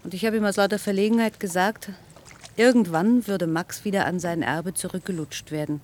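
A woman's voice: mid-range voice, fairly quick, darkish tone, a little hiss or hum, close to an everyday voice. An actress playing a candid, wry woman in a radio crime drama, talking informally and intimately. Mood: wistful, wry. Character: candid, wry female translator, lover of the heir.

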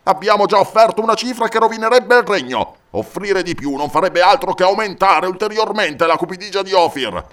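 A man's voice: sits high, fairly quick, few pauses, fairly bright tone, voice pushed up. A grave old chancellor, voiced by a man reading a fantasy tale. He is cautious and formal, worn down by long service, and presses his counsel insistently. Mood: grave, worried. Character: careworn, cautious elderly male royal chancellor.

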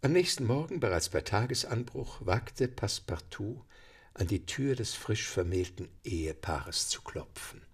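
Husky, creaky low male narrator. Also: animated, measured pace. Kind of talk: scripted radio play narration.

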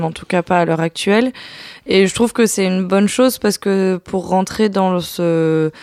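A woman with a smooth, clear voice weighs her points in a casual podcast talk about a film. She speaks in a measured way, pausing on fillers. The sound is dry and close. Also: on the low side, a little mellow, no echo. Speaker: podcast co-host.

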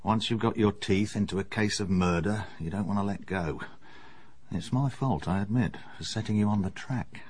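An actor playing a bluff, chummy middle-aged English police inspector in a radio drama, matter-of-fact and worldly. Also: male voice, fairly low pitch, moderate pace, darkish tone, breathy edge. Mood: chummy, knowing.

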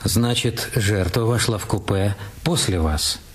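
A man's voice: lowish voice, unhurried, natural voice. A man playing a polite, probing police inspector in a radio drama, speaking courteously and methodically. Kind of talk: audio drama narration and dialogue.

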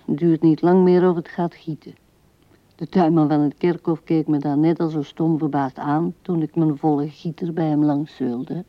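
A woman's voice: sits low, no room sound. Een vrouw speelt een oude weduwe die mijmerend en haperend tegen haar overleden man praat in een hoorspel. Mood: grumbling, musing. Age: older. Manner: musing, halting, with breathy asides. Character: old widow musing tenderly to her dead husband. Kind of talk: radio drama monologue. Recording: dull, band-limited 1970s radio recording.

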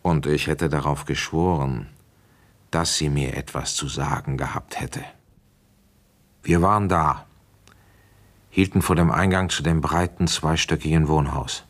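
An actor playing a middle-aged village police inspector in a German radio play, measured and matter-of-fact. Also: male voice, extremely low pitch, fairly even intonation. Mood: sober, reflective. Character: seasoned male village police inspector telling his case.

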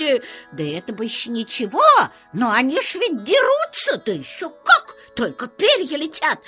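Little forest mouse, female, piping high, unhurried, grumbly and nosy, folksy exclamations, children's audio play. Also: bright, forceful tone, full character voice. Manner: folksy, exclamatory, old-fashioned village speech.

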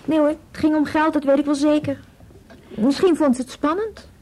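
A woman's voice: sits high, steady tone, normal speed, a little mellow, slight background noise, close to an everyday voice. An actress playing a troubled young woman in a radio drama, wry and anxious, talking hesitantly. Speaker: actor in a radio play. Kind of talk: naturalistic radio drama dialogue.

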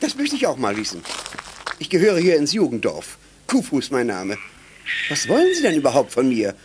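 A man's voice: mid-range voice, huge pitch swings, normal speed, background noise. A man playing a huffy, flustered school librarian who protests indignantly in a youth radio drama. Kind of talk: 1980s German youth radio drama acting.

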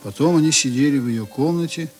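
An actor serving as the measured, probing narrator of a Russian literary radio drama. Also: male voice, fairly high pitch, a little mellow, soft background music, close to an everyday voice.

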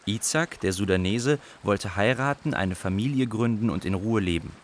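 A man's voice: fairly low pitch, normal speed, a little hiss or hum, lightly acted. A man playing a young Iraqi refugee, narrating his flight in a literary radio play. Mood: narrative.

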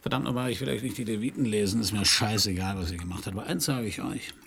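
An actor playing a blunt, world-weary detective with an anarchist past, narrating and acting gruffly in a German radio crime play. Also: male voice, lowish voice, quick pace, fairly bright tone, slight background noise. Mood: angry, resolute.

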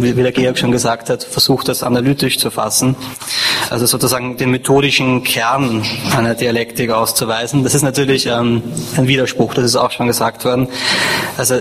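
A man's voice: mid-range voice, a bit bright, poor audio. A man with a slight cold giving an academic lecture, hesitant and full of fillers. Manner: hesitant, many fillers and restarts.

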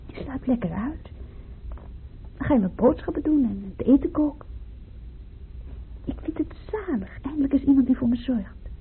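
Radio drama role: reassuring, practical carer, gravelly creaking voice, thin phone-like audio. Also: female voice, high-pitched, lively intonation, normal speed, pauses often, exaggerated voice. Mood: coaxing, caring. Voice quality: husky, creaky. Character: caring, practical woman reassuring a fragile companion. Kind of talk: radio play acting. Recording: very narrow, tinny radio play.

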